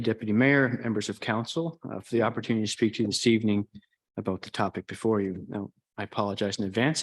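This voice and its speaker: Low-voiced man presenting to council. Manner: self-described monotone, measured and explanatory. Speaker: town chief administrative officer. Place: council chamber.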